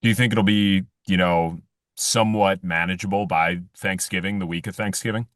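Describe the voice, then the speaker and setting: A man's voice: deep voice, steady tone, moderate pace, fairly bright tone, no room sound, studio quality. A man working as a news interviewer, asking conversational, roundabout questions in a hedging way. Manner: hedging, roundabout questions padded with "you know".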